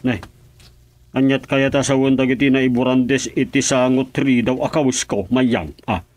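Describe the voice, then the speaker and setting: A man's voice: mid-range voice, fairly even intonation, normal speed, a little mellow, no room sound, lightly acted. A man playing a pleading, wheedling husband in a radio drama comedy, with an Ilocano accent. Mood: whining. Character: pleading husband promising his wife he will reform.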